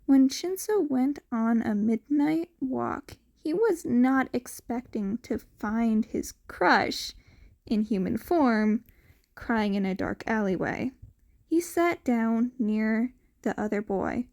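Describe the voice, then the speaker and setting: A woman's voice: fairly high pitch, expressive, takes it slowly, creaky, a little mellow. A woman narrating a piece of fiction from a script, as an audiobook-style reader. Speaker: podfic narrator.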